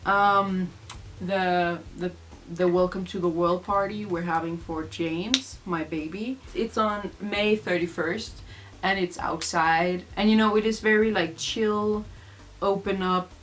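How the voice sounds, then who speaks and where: A woman's voice: fairly low pitch, steady tone, slow, fairly bright tone. A young woman from Denmark, a native Danish speaker, chatting casually through vlog tag questions in a rambling way with many fillers. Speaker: vlogger.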